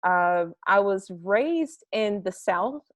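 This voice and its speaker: An upbeat young woman from the United States answers interview questions at length, trailing into fillers as she thinks. Her voice sits in a middle pitch. The sound is dry and close, without room echo. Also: animated, slow pace. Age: young adult. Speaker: interview guest, marketing strategist. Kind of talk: casual interview over a call. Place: home garage.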